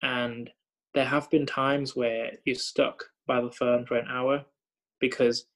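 A man's voice: mid-range voice, flat delivery, slow, fairly bright tone. A young man from Thailand working as a hospital doctor, talking thoughtfully with many fillers and repeated starts. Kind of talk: reflective conversation.

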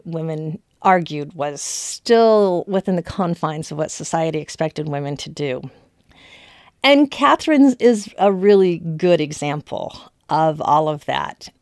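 A woman's voice: sits low, creaky, a little mellow, no echo, studio quality. A woman historian being interviewed, explaining conversationally and stressing key words.

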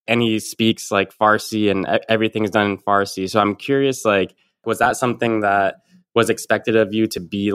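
Man, podcast host, fairly low voice, casual, lots of "like". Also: fairly bright tone, clean tone, dry recording, studio quality. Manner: casual, filler-heavy questions.

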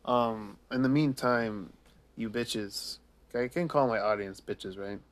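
A woman's voice: extremely low pitch, takes it slowly. Young woman goofing around on a podcast, teasing, joking at herself, loose casual chat. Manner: joking, teasing, self-deprecating. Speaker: podcast co-host.

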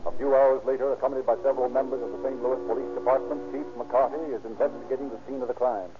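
A man's voice: mid-range voice, steady tone, quick pace, lightly acted. A man narrating an old radio crime drama in a formal, scripted storytelling style. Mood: formal, urgent. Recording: tinny old-time radio recording. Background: music.

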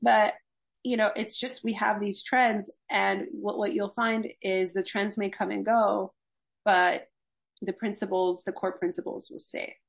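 A woman's voice: medium pitch, flat delivery, measured pace, gravelly. A young woman from Los Angeles, a gerontology faculty member, explaining health principles earnestly in a conversational interview. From Los Angeles, USA. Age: young adult.

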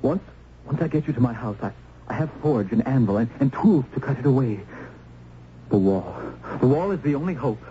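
Earnest, tormented young hero played by a man, mellow timbre, halting intensity, muffled old radio drama recording. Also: medium pitch, animated, slight background noise, natural voice. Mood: urgent. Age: young adult. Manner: hesitant, intense, breaks off and repeats. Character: tortured, compassionate young man, earnest would-be rescuer.

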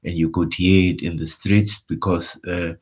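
Older man, Afrikaans speaker, very deep husky voice, halting interview answers with frequent restarts. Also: flat delivery, a little mellow. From Namibia. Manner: halting, full of restarts and repeated words. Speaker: interviewee, former liberation activist and tour guide.

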